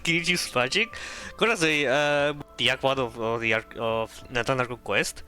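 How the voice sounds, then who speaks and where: A man playing a video game on stream, commenting in a silly, jokey, halting way. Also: medium pitch, sharp tone, soft background music. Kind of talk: casual playful game commentary and dialogue reading.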